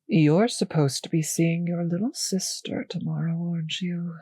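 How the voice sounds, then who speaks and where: A woman playing a cruel, possessive vampire mistress in an intimate audio roleplay, speaking in a slow, teasing, condescending purr with breathy, whispered phrases. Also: lowish voice, mellow, no echo, studio quality. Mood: condescending, veiled threat. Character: cruel, possessive, seductive female vampire mistress toying with servant.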